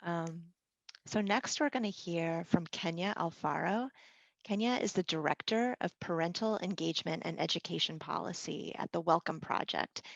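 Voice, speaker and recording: A woman's voice: on the low side, creaky, no room sound. Woman hosting a panel, gracious intros, kinda dull lo-fi dry audio. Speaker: moderator. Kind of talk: event moderation. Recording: dull, lo-fi sound.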